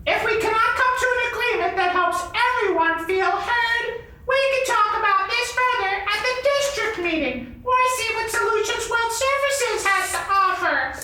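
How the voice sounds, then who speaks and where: A tiny formal animal puppet, extremely high and bright. She speaks procedurally in a live show, heard from afar in a very echoey room. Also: expressive, moderate pace, full character voice. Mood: formal, diplomatic. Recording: echoey convention room, far mic, faint noise.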